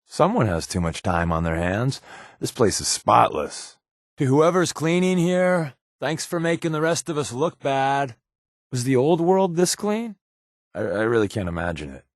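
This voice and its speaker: An actor voicing a sardonic, wisecracking secret agent companion in a video game, with a dry, joking manner. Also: male voice, mid-range voice, very animated, a little slow, no room sound. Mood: wry. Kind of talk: game voice acting.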